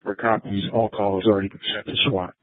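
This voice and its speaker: Flat-toned male police commander over radio. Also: fairly low pitch, fairly quick, no room sound. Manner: directive, businesslike. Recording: narrow-band police scanner radio.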